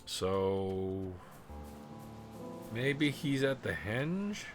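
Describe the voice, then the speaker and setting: A man streaming a video game live, chatting in a rambling way and clearing his throat often. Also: lowish voice, very animated, drawn-out words. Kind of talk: live let's play commentary. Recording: game music clearly audible underneath. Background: game music.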